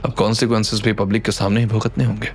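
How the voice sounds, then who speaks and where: Man playing a low, commanding, menacing business tycoon. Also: flat delivery, close to an everyday voice. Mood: cold, triumphant. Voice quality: low, controlled. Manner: slow, confident, authoritative. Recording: background music under the voice.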